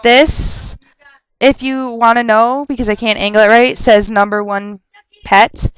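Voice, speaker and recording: A young woman rambling casually on a webcam vlog, chatty and quick to laugh and rant, her nose stuffed up from a cold. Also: on the high side, little pitch movement, smooth, dry recording, rough recording. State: stuffed up with a sore throat. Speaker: vlogger answering viewer questions.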